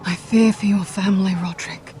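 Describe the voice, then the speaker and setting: A woman voice acting a guarded, earnest young noblewoman in a fantasy game drama, speaking in grave, measured, formal phrases. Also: medium pitch, fairly even intonation, dark tone, slight background noise, close to an everyday voice. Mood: urgent, grave.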